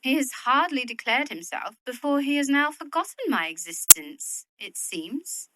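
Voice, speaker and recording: An actress playing a proud, practical young Victorian widow in a period audio drama, speaking in a worldly, opinionated way. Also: female voice, high voice, big pitch swings, moderate pace, background noise. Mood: piqued. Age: young adult.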